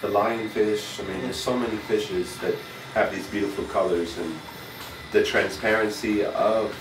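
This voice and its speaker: A middle-aged man with a lowish voice talks as a designer in a casual interview. His delivery rambles with halting pauses. He is recorded off-mic in a reverberant room, with music clearly playing behind him. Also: steady tone, distant mic. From Puerto Rico, raised in New York City. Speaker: fashion designer being interviewed. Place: designer's indoor studio with sewing machines.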